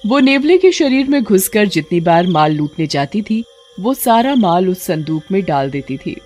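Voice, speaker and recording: A woman's voice: mid-range voice, big pitch swings, normal speed, a little mellow, faint music behind. A woman narrating a scripted story as a storyteller.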